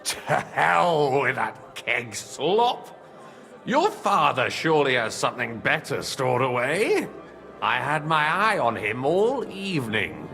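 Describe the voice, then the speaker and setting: A tipsy medieval lord in a game, theatrical and teasing. His bright, forceful voice swoops dramatically high and low in a drunken drawl, with faint music behind it. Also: very animated, takes it slowly, creaky, heavily acted. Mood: demanding, scheming. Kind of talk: game cutscene dialogue.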